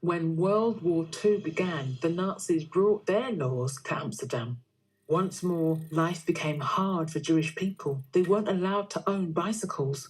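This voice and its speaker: A woman's voice: lowish voice, a little mellow, husky, low-quality recording. A woman delivering scripted educational narration as a narrator. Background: music.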